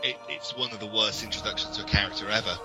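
A man's voice: on the low side, steady tone, fast talker, music in the background. A British man co-hosting a podcast, chatting casually in a blunt, dryly opinionated way. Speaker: podcast co-host. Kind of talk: casual fan discussion.

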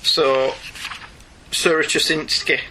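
A man's voice: fairly high pitch, flat delivery, slow pace, noisy background. A man chatting casually as co-host of a comic-book review podcast, opinionated and wry. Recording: music behind the voice. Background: music.

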